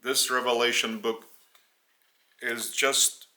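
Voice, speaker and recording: A man with a Lithuanian accent delivers a spiritual teaching in English, his first language being Lithuanian. He speaks very slowly, breaking his sentences into short, halting phrases. His voice has a very bright, forceful edge. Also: mid-range voice, little pitch movement. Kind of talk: spoken religious teaching in English.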